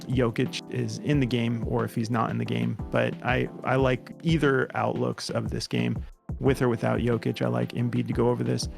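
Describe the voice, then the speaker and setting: A man casually talking through his sports betting picks as a podcast host, relaxed and full of fillers. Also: medium pitch, creaky. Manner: relaxed, lots of fillers and restarts.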